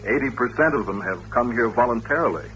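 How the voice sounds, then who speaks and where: An actor playing a courteous, patient doctor who runs an institution, explaining things politely and with measure in an old-time radio drama. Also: male voice, mid-range voice, lively intonation, brisk, fairly bright tone, close to an everyday voice. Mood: earnest, explanatory. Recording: dull old radio recording, faint hiss.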